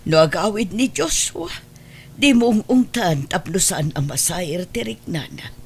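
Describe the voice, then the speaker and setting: A woman's voice: on the low side, animated, measured pace, creaky, a little hiss or hum. A woman playing a worried, pleading mother in a radio drama, speaking earnestly and drawing out her words with emotion. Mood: gentle, coaxing. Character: worried, pleading mother of a wayward grown son. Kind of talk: Ilocano radio drama acting.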